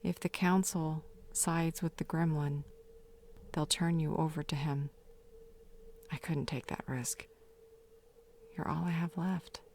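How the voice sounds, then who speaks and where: Woman acting as low-voiced, grieving fae mother. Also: fairly even intonation, a little mellow, slight background noise. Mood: sorrowful, apologetic. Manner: sorrowful, halting, breathy half-whispers. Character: grieving fae mother leaving a last confession, regretful, tender. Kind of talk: dramatic audio roleplay monologue.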